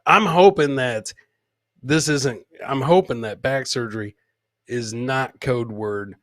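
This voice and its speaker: A male podcast host with an average-pitched voice chats off the cuff in a loose, joking way. He banters with viewers in salty, informal language, and the sound is dry with very little room. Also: slow pace, fairly bright tone, dry recording. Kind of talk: casual comedic livestream chat.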